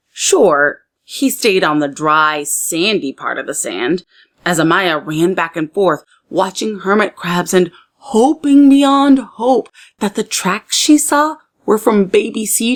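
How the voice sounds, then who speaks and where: A woman with a slightly low voice reads a children's story aloud. Her phrasing is expressive and deliberate, pitched to young listeners in the way of a teacher at storytime.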